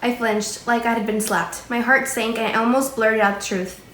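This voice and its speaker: A woman's voice: fairly high pitch, fairly even intonation, normal speed, fairly bright tone. A woman reading scripted dramatic narration for readers' theatre. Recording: distant, echoey, faintly noisy room.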